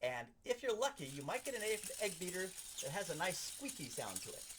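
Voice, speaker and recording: A man with a slightly high voice teaches in a practical, show-and-tell style. His intonation moves up and down a great deal as he explains each step like an animated instructor. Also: a little fast, noisy background. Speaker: sound effects instructor. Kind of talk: hands-on instructional demonstration.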